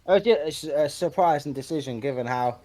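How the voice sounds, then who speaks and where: A man's voice: fairly high pitch, fairly quick, warm tone. A man co-commentating a video game match in a dry, joking way. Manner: dry, joking, laughs at his own quips.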